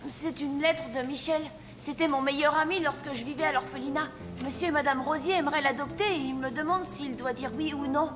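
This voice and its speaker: Woman as high-voiced, eager little boy. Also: fairly even intonation, brisk, few pauses, music in the background, lightly acted. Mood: earnest. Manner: bright-eyed, earnest, exclamatory. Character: eager, pure-hearted young boy, apprentice Santa. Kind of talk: children's cartoon dubbing.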